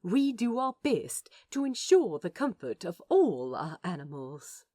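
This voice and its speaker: A woman voicing a gentle, fussy male aquarium guide in a fan fiction audio drama, courteous, teacherly and softly enthusiastic. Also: fairly low pitch, very animated, unhurried. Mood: warm, delighted.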